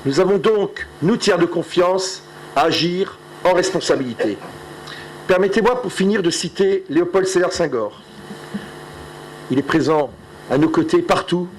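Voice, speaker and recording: A man's voice: sits high, moderate pace, a little hiss or hum, poor audio. A middle-aged man from Alsace giving an earnest, proud closing speech at a conference. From Alsace, France. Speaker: conference speaker.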